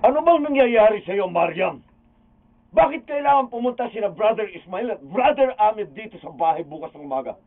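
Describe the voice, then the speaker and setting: A man's voice: sits high, animated, moderate pace. A man playing an aggrieved, defensive husband in a radio drama, agitated and protesting, with a Filipino accent. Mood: agitated, indignant. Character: aggrieved, defensive husband arguing with his wife. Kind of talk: amateur radio drama acting. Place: radio recording studio. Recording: muffled phone-like audio, distant from mic.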